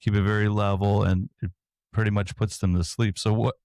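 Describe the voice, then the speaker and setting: A man co-hosting a podcast, speaking in a level, monotone way. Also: fairly low pitch, brisk, no echo, studio quality. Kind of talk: casual interview talk.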